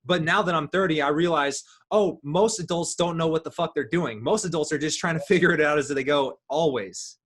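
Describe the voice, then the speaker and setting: A man of thirty hosting a casual podcast talk, relaxed and rambling, with many ums and likes. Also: a little high, a little fast, hardly any pauses. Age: 30s. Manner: relaxed, rambling, filler-heavy. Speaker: podcast host.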